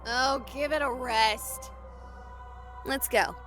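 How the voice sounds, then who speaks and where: A woman's voice: sits high, steady tone, slow, a bit bright, faint music behind. An actress playing a chatty, jokey young woman who hunts ghosts on a livestream, theatrical and wisecracking, in a visual novel game. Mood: wry, spooked. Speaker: live-streaming ghost hunter. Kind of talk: dramatic visual novel voice acting.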